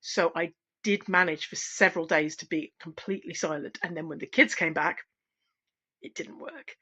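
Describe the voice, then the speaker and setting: A middle-aged woman, a singing teacher, talking candidly at home in a relaxed, unscripted vlog, pausing and restarting as she reflects. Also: on the low side, husky. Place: at home, wrapped in a blanket. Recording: dull, lo-fi sound.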